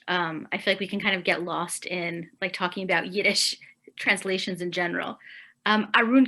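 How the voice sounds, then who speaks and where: Bright-voiced woman moderator. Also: medium pitch. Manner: casual, with ums and likes. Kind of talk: interview questions.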